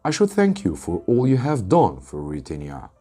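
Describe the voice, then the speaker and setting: A man playing a gracious, forgiving princess in a storybook, gently formal. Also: on the low side, huge pitch swings, normal speed, slightly rough, faint music behind, full character voice.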